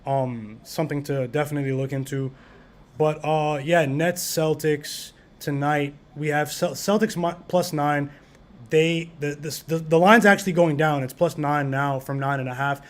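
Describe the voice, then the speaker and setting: A man's voice: fairly high pitch, steady tone, normal speed. A man hosting a sports betting podcast, easygoing and full of fillers, reading out lines and chat comments. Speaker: podcast host.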